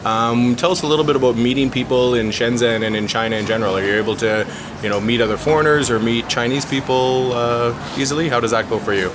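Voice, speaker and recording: A man doing a casual interview as the interviewer, encouraging and quick to affirm. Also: medium pitch, noisy background.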